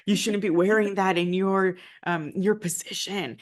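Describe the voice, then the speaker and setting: A woman's voice: medium pitch, steady tone, moderate pace. A Nicaraguan American woman, a former diplomat, telling her story in an interview with frequent "right?" tags. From United States, family from Nicaragua. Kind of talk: conversational interview.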